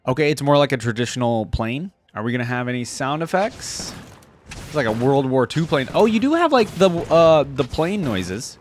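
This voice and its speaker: A man's voice: mid-range voice, big pitch swings, normal speed, a little hiss or hum. A man giving casual, off-the-cuff reaction commentary on video game skins as a video host.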